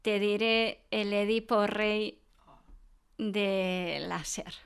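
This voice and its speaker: Unhurried, clear-voiced woman professor. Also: mid-range voice, fairly even intonation. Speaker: professor being interviewed about classical radio theatre. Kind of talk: conversational interview, explaining.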